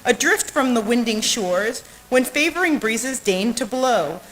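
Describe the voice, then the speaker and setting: A woman with a fairly high voice speaks live at a podium microphone, as if testing the sound system. The recording is a live stream with faint noise behind her. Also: lively intonation, unhurried, a bit bright. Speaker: bema team member testing microphones. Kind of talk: live sound check and poetry reading. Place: synagogue bema during a sound check. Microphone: podium microphones, sometimes speaking off mic. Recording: hissy live stream, sometimes distant from mic.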